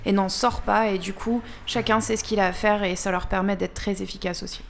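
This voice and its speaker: A woman speaking as a guest in a casual radio discussion, measured and explanatory. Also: medium pitch, fairly even intonation, creaky. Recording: faint background noise.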